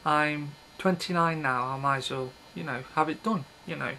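A man's voice: fairly high pitch, fairly even intonation, moderate pace, vocal fry, pushed and bright, a little hiss or hum. A man in his thirties rambling to a webcam in a small bedroom, blunt and offhand, often breaking off mid-thought. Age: 30s. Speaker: video blogger. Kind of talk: casual rambling monologue to camera. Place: small bedroom with red curtains, indoors. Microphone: built-in webcam mic.

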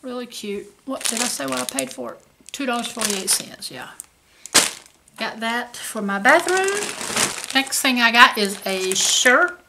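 A woman's voice: fairly high pitch, lively intonation, unhurried, creaky, very noisy. A woman, chatting casually about her shopping haul to a camera at home. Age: 50s. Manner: chatty, folksy, says y'all. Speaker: haul vlogger. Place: home kitchen indoors.

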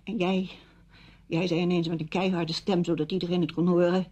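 A low-voiced wounded single woman in a radio play. She sounds husky and bitter, confronting a friend with intense, reproachful feeling. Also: flat delivery, a little fast, warm tone, highs cut off. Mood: hurt. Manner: reproachful, emotionally intense monologue. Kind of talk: radio drama acting.

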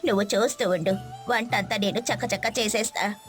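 A woman voicing a confident, capable older village mother-in-law in an animated moral story, with music behind. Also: medium pitch, normal speed, lightly acted. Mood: confident, reassuring.

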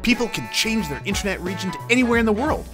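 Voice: animated, quick pace, natural voice. A voice actor doing a sly, singsong cartoon wolf. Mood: cheery salesman. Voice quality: salesman patter, wide pitch swings. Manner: pushy and cheery, with a sing-song pitch. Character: sly upbeat male wolf con man. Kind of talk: fan-dubbed comic skit. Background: background music.

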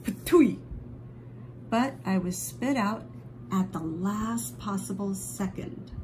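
A woman reading a children's picture book aloud expressively, with dramatic drawn-out emphasis on key phrases. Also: mid-range voice, noisy background. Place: indoors at a table, book held before camera.

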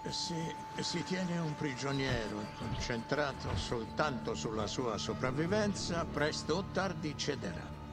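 An actor playing a hard, blunt, defiant middle-aged army general in a video game. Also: male voice, medium pitch, expressive, measured pace, loud music behind the voice, close to an everyday voice. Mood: grim. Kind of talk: cinematic video game acting. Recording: loud film score behind.

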